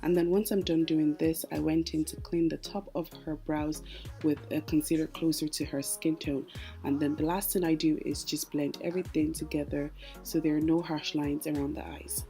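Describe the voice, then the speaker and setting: Young woman, low mellow voice, chatty makeup voice-over, soft music behind. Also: little pitch movement, normal speed. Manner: chatty, explains each step. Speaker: makeup artist.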